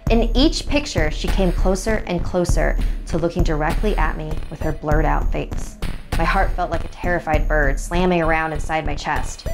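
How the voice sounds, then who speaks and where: A woman with a fairly low voice tells a horror story in the first person. Her delivery is dramatic and expressive, building suspense as she reads. Music plays clearly behind her. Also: normal speed. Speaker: narrator.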